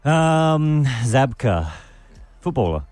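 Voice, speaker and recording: A man's voice: medium pitch, very animated, drawn-out words, a little mellow, no echo. An Australian man in his thirties co-hosting a breakfast radio show, easygoing and self-mocking, laughing along. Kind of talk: casual radio banter. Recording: dry studio mic with faint music bed.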